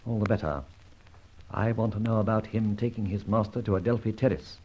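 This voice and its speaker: An actor playing a dashing, sardonic masked vigilante in an old-time radio drama, speaking in a theatrical, clipped and commanding way. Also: male voice, on the low side, steady tone, fairly quick, mellow, a little hiss or hum, natural voice. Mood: musing, searching. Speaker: lead actor in a radio detective serial. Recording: dull vintage radio recording.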